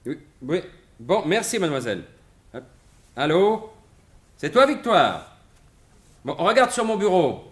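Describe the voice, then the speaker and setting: An actor playing a sly, self-assured gentleman thief turned sleuth in an animated 1950s-style French radio mystery. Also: high voice, a little slow, pauses often, breathy edge, exaggerated voice. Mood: brisk, eager.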